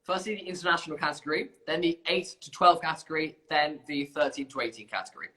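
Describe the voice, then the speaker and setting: A man's voice: on the high side, quick pace, sharp tone, poor audio. A man hosting an informal live competition stream, chatty and self-deprecating, bumbling along as he presents. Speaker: competition livestream host.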